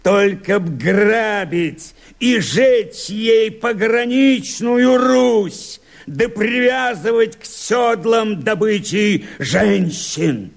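A weary Cossack mutineer speaks in theatrical radio-play verse. His voice sits fairly high for a man. He declaims slowly and emphatically, defiant toward his leader. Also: vocal fry, full character voice. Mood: heated refusal.

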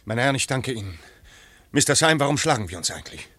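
An actor playing a stiffly formal French marquis who turns exasperated and urgent, in a radio play. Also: male voice, mid-range voice, sing-song, fast talker, bright, forceful tone, no room sound, faint music behind. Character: haughty French marquis, secretly a police inspector.

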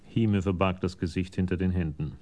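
A man narrating a scripted radio crime play in a sober storytelling manner, with a gravelly voice. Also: deep voice, steady tone, moderate pace, mellow, close to an everyday voice.

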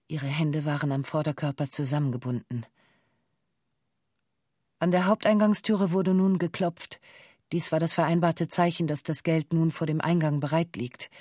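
A slightly low female voice gives a hostage's witness statement. She is sober and exact, with formal, official wording. The recording is dry, as in a documentary radio play. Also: a little mellow, no room sound. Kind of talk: documentary radio drama, reading a police testimony.

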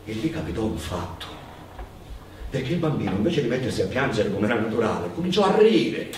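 A man's voice: medium pitch, very animated. A man performing a theatrical narrative monologue as a storyteller, dramatic and given to insistent, building repetition. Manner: dramatic, building repetitions into drawn-out, chanted cries. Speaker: stage storyteller, actor. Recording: very echoey, distant microphone, noisy ambience.

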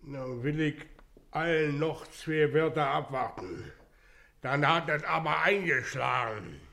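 Grumpy german dad in radio play, slow and gravelly, gruff. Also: male voice, on the high side, sharp tone, heavily acted. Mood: grumbling. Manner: gruff, grumbling. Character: grumpy, slow-witted, gruff working-class husband and father.

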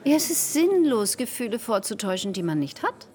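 Society hostess, callous, self-justifying, theatrical, highish swooping pitch, radio play. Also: female voice, very animated, a little fast. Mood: blasé, confiding. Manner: theatrical, declaiming with swings into hushed confiding. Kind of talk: German radio drama acting.

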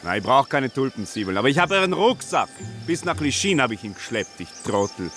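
An actor playing a put-upon gardener's son who grumbles and sounds exasperated, in a radio play. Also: male voice, mid-range voice, big pitch swings, fairly quick, fairly bright tone, faint music behind.

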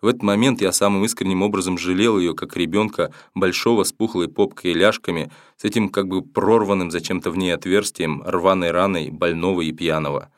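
Male audiobook narrator, low voice, steady matter-of-fact first-person read, dry close recording. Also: brisk, few pauses, dry recording. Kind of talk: first-person novel reading.

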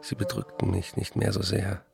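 A man's voice: sits low, completely flat delivery, normal speed, vocal fry. A man reading the letters and memoirs of a weary, despairing middle-aged writer in a subdued, resigned manner. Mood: hopeless. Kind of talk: broadcast documentary reading.

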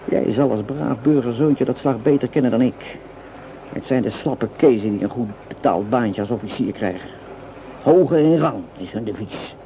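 A man's voice: medium pitch, lightly acted. An actor playing a coarse, sardonic common soldier in a radio play, cursing and resentful of his officers. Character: gruff, hungry, rebellious common foot soldier, embittered against officers. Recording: noisy, dull old radio drama recording.

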